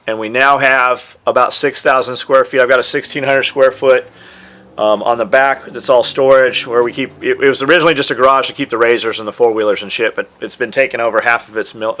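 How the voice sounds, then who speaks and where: A man's voice: medium pitch, fairly even intonation, brisk, a bit bright. A man who owns a business, chatting offhandedly and with casual swearing as he tells its story. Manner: offhand, profane. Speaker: gear maker and business owner. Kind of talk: casual storytelling about his company.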